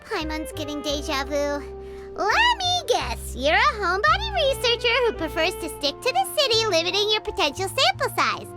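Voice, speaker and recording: A woman voicing a small, excitable, outspoken fairy companion in a video game, with a squeaky childlike voice and an emphatic manner. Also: moderate pace, heavily acted. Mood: knowing. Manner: speaks of self in third person, emphatic. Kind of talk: video game character dialogue. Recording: loud music under the voice. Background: game background music.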